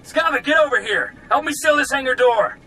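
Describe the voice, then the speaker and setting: Sharp, high-voiced urgent soldier. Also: female voice, animated, a little fast, rough recording. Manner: urgent, commanding. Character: urgent female soldier under attack, commanding.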